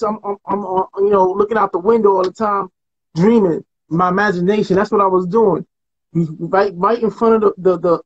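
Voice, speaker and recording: A middle-aged man from Trinidad and Tobago, telling stories in a laid-back, slangy way. His voice is husky and a little dull, coming through a webcam on a video call. He sounds like a relaxed guest chatting from his home. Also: sits high. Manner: laid-back storyteller, slangy, laughs often. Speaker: artist guest. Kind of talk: casual interview chat. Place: home room with painted walls.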